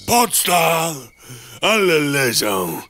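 A man's voice: on the high side, sing-song, extremely slow, pushed and bright, soft background music, full character voice. An actor playing a crude, cheeky male insect postman in an audio drama, jokey and teasing.

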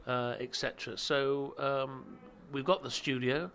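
A man's voice: mid-range voice, a little slow, a bit bright. A man answering interview questions about producing audio dramas, hesitant, with many fillers and restarts.

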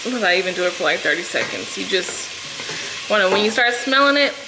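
A woman's voice: medium pitch, lively intonation, fast talker, very noisy. A young woman talking viewers through a recipe in her home kitchen, chatty, with plenty of fillers. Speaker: cooking vlogger. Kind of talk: casual cooking tutorial. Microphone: camera on the counter, close. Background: sizzling food and stirring.

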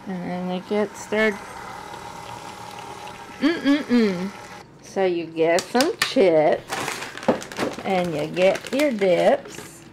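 A woman's voice: mid-range voice, animated, drawn-out words, long pauses, background noise. A woman in her thirties chatting casually and playfully while cooking and cleaning in her home kitchen, recorded on a camera mic. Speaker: vlogger. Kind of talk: casual vlog chat.

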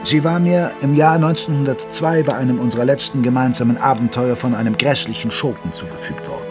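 An actor playing a retired old army doctor who narrates a radio play in a measured, genteel, reminiscing way. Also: male voice, mid-range voice, lightly acted. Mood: wistful. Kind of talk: scripted first-person radio play narration in German.

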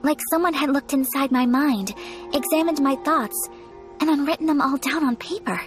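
A woman voicing a poised, gentle young noblewoman in a video game, soft-spoken and formal. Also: high voice, normal speed, lightly acted. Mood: earnest. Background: faint game music.

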